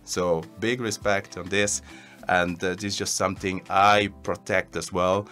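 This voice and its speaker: A man's voice: sits low, little pitch movement, measured pace, bright, forceful tone, faint music behind. A man born in Congo and raised in Switzerland, an actor talking earnestly and informally in an interview. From born in DR Congo, grew up in Switzerland. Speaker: actor being interviewed as cast member. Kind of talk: casual interview talk.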